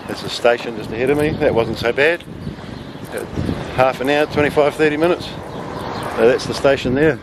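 A man's voice: mid-range voice, moderate pace, pauses often. A man in his fifties chatting casually and unhurriedly to a handheld camera as a travel vlogger. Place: outdoors on city streets and roadside. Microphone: handheld camera at arm's length. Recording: noisy outdoor audio with traffic and wind.

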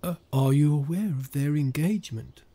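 A man acting a pious, measured village vicar in an audio drama. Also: fairly high pitch, warm tone. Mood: gently solemn.

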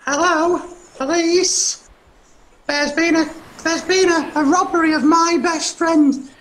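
Woman voicing a slow, high-pitched puppet character. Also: steady tone, a bit bright, exaggerated voice. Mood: worried, pleading. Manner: slow, drawn-out, hesitant, exclaiming. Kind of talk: children's puppet show. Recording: roomy, noisy homemade recording.

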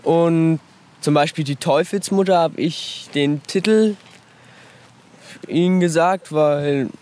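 A man's voice: a little high, takes it slowly, darkish tone. A young man answering interview questions casually, in brief and hesitant replies. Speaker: author's grandson, interview guest.

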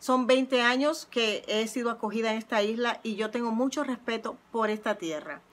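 A middle-aged woman hosting her own channel, talking earnestly and reflectively from her couch at home into a close phone camera, often pausing with "eh". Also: fairly high pitch. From not Puerto Rico, living there twenty years. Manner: earnest, reflective, frequent "eh" fillers. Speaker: channel host. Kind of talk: casual heartfelt vlog monologue. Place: living room at home, seated on a couch. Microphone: phone or webcam close up.

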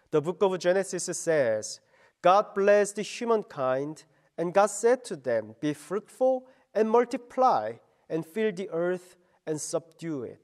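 Middle-aged pastor guy, earnest slow devotion, fairly high voice. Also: darkish tone. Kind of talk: radio devotion sermon.

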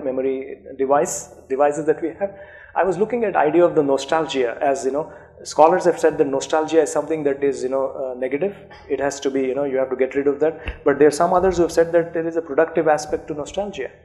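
A man's voice: on the high side, steady tone, fast, dark tone. A middle-aged man with an Indian accent, a native Urdu speaker, speaking thoughtfully as an author in an academic conversation, with many fillers and restarts. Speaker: author being interviewed. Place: seminar room, indoors. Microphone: handheld microphone.

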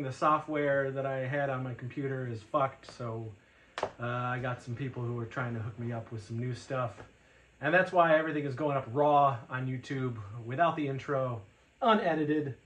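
Homem de quarenta e poucos, voz projetada. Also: male voice, medium pitch, fairly even intonation, normal speed, roomy sound. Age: 40s. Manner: loud, projecting voice, chuckles often. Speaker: podcast host. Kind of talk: casual chat. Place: living room full of shelves.

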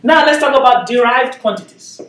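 A man's voice: very high-pitched, animated, normal speed, background noise. A man in his thirties with a Nigerian accent, giving a physics lecture at a whiteboard and explaining step by step, often checking in with "okay?". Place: classroom with whiteboard, indoors. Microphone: far camera. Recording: echoey room, voice from a distance.

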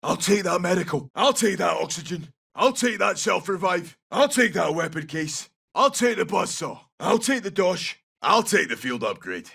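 An actor playing a tough, loyal Scottish special forces soldier in a video game, giving short, clipped callouts in a gravelly voice. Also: male voice, sits high, very animated, pushed and bright, recorded from a distance, exaggerated voice. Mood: brisk, businesslike. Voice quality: husky, gravelly. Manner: clipped, brisk, urgent.

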